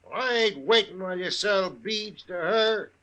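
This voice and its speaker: An actor playing a blustering, bigoted American stable hand in a western radio drama. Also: male voice, high voice, expressive, moderate pace, sharp tone. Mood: impatient. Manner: impatient, blustery.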